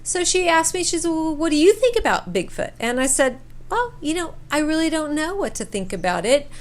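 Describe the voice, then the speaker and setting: A woman's voice: high voice, big pitch swings, fairly quick. A woman telling a personal story casually, acting out bits of dialogue and repeating words for emphasis. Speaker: storyteller sharing a personal camping experience.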